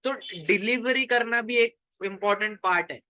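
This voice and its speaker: An Indian man gives an informal lesson in a bright, forceful voice. He mixes Hindi with English and talks straight to his students. His wording is colloquial and chatty, like a tutor at ease with a class. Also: high voice, moderate pace. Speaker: commerce tutor.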